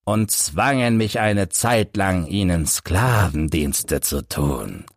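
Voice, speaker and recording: An embittered, broken old veteran, played by a male audiobook reader tells of his suffering in measured, literary sentences. The voice is fairly low and very unhurried, grave in manner, and recorded close and dry. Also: no echo. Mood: weary, matter-of-fact. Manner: solemn, measured, literary delivery. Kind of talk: audiobook first-person storytelling.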